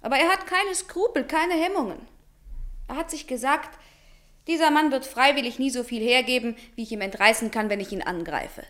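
A woman's voice: sits high, normal speed, a bit bright. An actress playing a young woman visitor in a German radio play, earnest and guarded. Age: young adult.

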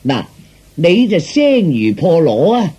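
A man voicing a glib, flattering fortune teller in Cantonese, with theatrical sales patter. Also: on the high side, takes it slowly, breathy edge, full character voice. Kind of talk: Cantonese storytelling performance.

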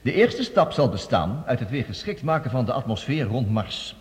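An actor playing a confident, visionary scientist, giving a formal, eloquent declamatory address in a radio drama. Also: male voice, mid-range voice, sing-song, normal speed, close to an everyday voice. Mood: assured, explanatory. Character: visionary, confident male scientist presenting a bold Mars plan. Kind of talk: Dutch radio drama acting, formal speech. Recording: long reverberant hall.

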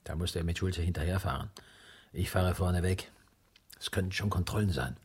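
Deep husky man, rough and breathy, weary anxious accomplice, arrogant, naturalistic radio play. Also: a little fast. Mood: tense, practical. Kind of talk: naturalistic radio drama dialogue.

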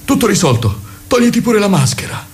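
A man's voice: high-pitched, big pitch swings, brisk, voice pushed up. A man playing a young studio guitarist who answers plainly and dismissively in a comic radio play. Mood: matter-of-fact.

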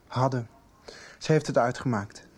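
A young male actor playing a shy, confused schoolboy in a radio soap, halting and reticent in his speech. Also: mid-range voice, slightly rough. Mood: troubled, flustered. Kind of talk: Dutch radio soap acting.

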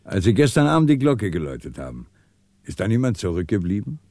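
An actor playing a shrewd, teasing police inspector in a German radio crime drama, brisk and commanding. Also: male voice, fairly low pitch, sing-song, darkish tone. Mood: probing.

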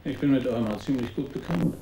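An actor playing a detached, observant photographer who tells his story in a radio play, measured and matter-of-fact. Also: medium pitch, warm tone, slight background noise, close to an everyday voice, poor audio. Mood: detached, reflective. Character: detached, observant male art photographer recounting his encounters. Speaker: narrator and main character of a radio play. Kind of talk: literary first-person radio drama narration.

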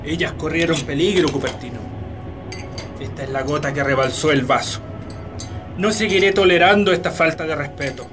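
A man's voice: fairly high pitch, normal speed, over music, natural voice. A man with a Chilean accent playing a harsh, domineering landowner in a radio drama, commanding and threatening. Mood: menacing, bitter.

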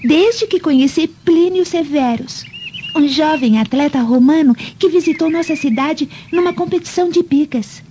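A woman's voice: sits high, normal speed, mellow, close to an everyday voice. A woman playing an earnest, girlish young daughter from ancient Rome in a radio drama. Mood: eager. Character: young Roman girl, eager, lovestruck daughter. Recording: dull lo-fi audio, faint background noise.

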